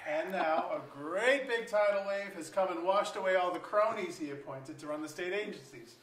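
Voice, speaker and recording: A jokey, rambling middle-aged bureaucrat played by an actor in a staged comedy reading. The voice is mid-pitched and sounds roomy and set back from the mic. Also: moderate pace, a bit bright, close to an everyday voice. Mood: jokey, rueful. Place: museum auditorium stage. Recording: roomy, distant mic, faint noise.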